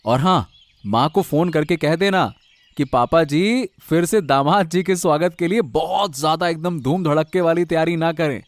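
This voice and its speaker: A man's voice: fairly high pitch, normal speed, no echo, natural voice. A man playing a bossy but caring husband in an acted story, chatty, emphatic and affectionately nagging. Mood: affectionate, planning. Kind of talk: acted Hindi audio story dialogue.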